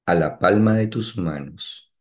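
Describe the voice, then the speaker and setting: A man leading a guided meditation, giving calm instructions in short phrases with long pauses between them. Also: sits low.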